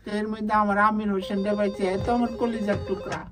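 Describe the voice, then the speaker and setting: High-pitched older Bangladeshi man, echoey and distant. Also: flat delivery, fast, over music. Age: middle-aged or older. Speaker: guest reunited with family. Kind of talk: emotional family conversation. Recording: echoey, distant, crowd around.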